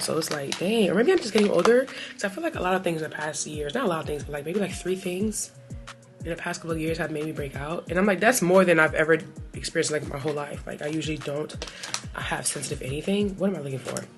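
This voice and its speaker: A woman's voice: low voice, animated, fast. Chatty American woman with a cold, music behind. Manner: chatty, playful slang and self-deprecating asides. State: has a cold. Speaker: beauty vlogger. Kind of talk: casual get-ready-with-me chat.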